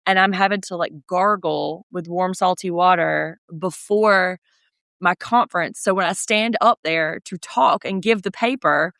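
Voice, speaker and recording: Brief: podcast co-host, woman, slightly deep voice, dry close mic, wry and animated. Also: creaky, no room sound. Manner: chatty, sardonic, animated asides. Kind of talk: casual recap chat.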